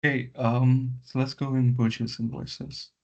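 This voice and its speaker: A man giving step-by-step software training in an instructional way, often repeating his words, over an online meeting. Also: mid-range voice, flat delivery, measured pace, creaky. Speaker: software trainer leading a session. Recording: muffled lo-fi online meeting audio.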